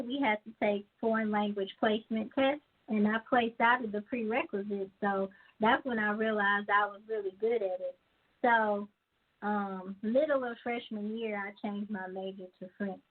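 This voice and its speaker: A woman's voice: medium pitch, breathy edge. A woman in her forties, a guest on an interview podcast, answering in a thoughtful, easygoing way and laughing often. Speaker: guest, actress and musician. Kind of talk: casual interview. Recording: tinny phone-line sound.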